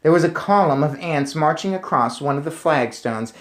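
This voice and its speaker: A man's voice: on the high side, lively intonation, normal speed. A man reading a story aloud and commenting on it as narrator. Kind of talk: read-aloud narration with casual recap.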